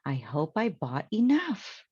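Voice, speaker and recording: A woman's voice: low-pitched, huge pitch swings, slow, dark tone, husky, no echo, muffled recording, full character voice. A woman voicing a cheerful, naive, literal-minded housekeeper from a children's storybook, earnest and exclamatory. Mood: musing, upbeat.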